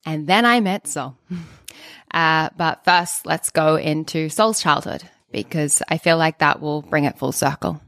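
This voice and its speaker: Woman, podcast co-host, soft-spoken, deep voice, dry sound. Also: a little slow, dry recording. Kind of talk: personal storytelling.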